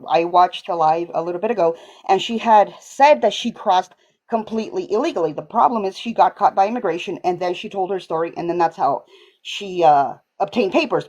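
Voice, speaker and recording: A woman's voice: on the low side, darkish tone. A woman caller from Colombia, earnest and hesitant, restarting her sentences as she presses a point. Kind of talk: call-in debate.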